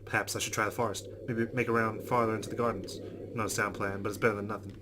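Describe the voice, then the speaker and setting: A man's voice: fairly low pitch, flat delivery, quick pace, noisy background. A man reading a visual novel aloud as a let's play host, adding casual commentary. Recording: background guitar music. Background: game music with plucked guitar.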